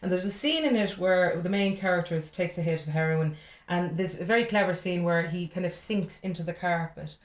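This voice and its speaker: Irish woman, lowish voice, frank and chatty, echoey room. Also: brisk. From Ireland. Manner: frank and chatty, with laughing asides. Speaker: comedian guest. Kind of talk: casual interview chat.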